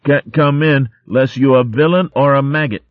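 A man's voice: medium pitch, slow, dry recording, narrow, tinny audio, voice pushed up. A man voicing a small mischievous young mouse in a spoken storybook. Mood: cheeky. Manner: cheeky, sing-song teasing.

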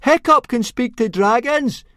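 A man voicing an excitable, stout-hearted young Viking boy in a storybook, high and eager. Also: moderate pace, no room sound, clean studio sound.

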